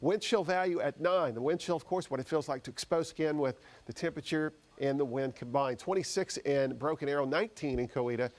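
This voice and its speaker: A man's voice: fairly high pitch, fairly quick, few pauses, darkish tone. American man giving a conversational weather forecast. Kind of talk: live unscripted weather forecast.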